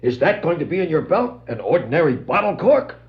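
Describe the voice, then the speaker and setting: An actor playing a gruff, slow-witted henchman with slangy street-tough talk, in a 1960s superhero audio drama. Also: fairly high pitch, big pitch swings. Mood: curious, businesslike. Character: gruff, dim adult male gangster henchman. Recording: dull vintage vinyl record.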